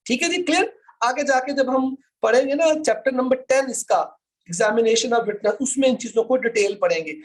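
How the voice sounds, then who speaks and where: A man's voice: extremely high pitch, normal speed. A man with a Pakistani accent lecturing students online, explaining didactically, repeating points for emphasis and mixing in English terms. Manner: mixes English terms into speech. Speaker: law lecturer.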